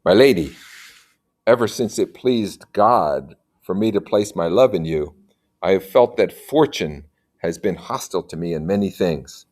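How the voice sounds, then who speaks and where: A man reads the part of an impoverished medieval gentleman in a storybook, devoted and sorrowful in love. His speech is earnest, courtly and formal, in a rather low voice. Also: animated, measured pace.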